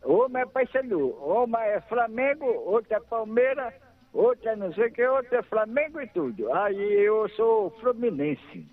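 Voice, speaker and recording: A man's voice: high voice, expressive, normal speed. Chatty older man on phone line. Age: 60s. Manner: chatty, repeats himself, laughs easily. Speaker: radio call-in caller. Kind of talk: casual phone chat.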